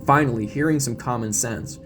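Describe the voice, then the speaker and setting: A man's voice: medium pitch, fairly quick, over music. A man reading scripted, dramatic audio story narration as the narrator.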